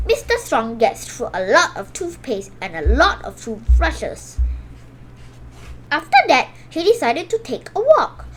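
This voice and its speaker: A woman's voice: high-pitched, sing-song, a little slow, a bit bright, rough recording. A woman reading a children's picture book aloud expressively, exclaiming the sound words and sometimes stumbling and correcting herself. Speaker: storybook reader for a children's video channel.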